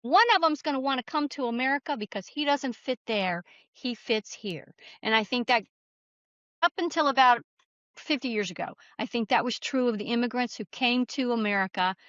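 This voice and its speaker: Female author guest, higher register, emphatic folksy storyteller, slightly muffled audio. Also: moderate pace, a bit bright, no echo. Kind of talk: conversational storytelling.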